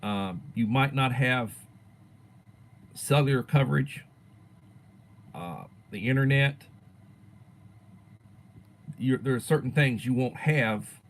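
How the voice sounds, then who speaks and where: A man in his sixties, a teacher chatting casually on his own livestream and answering viewers, rambling and letting his sentences fade softly at the ends. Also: mid-range voice, slow, pauses often, slight background noise. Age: 60s. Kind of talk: casual livestream chat reading viewer comments.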